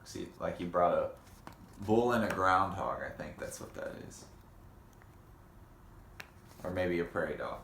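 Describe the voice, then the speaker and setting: A man reading a children's picture book aloud in an unhurried way, pausing for casual comments and questions. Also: low voice, lively intonation, gravelly, fairly bright tone. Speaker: storybook reader. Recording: roomy echo with faint background noise.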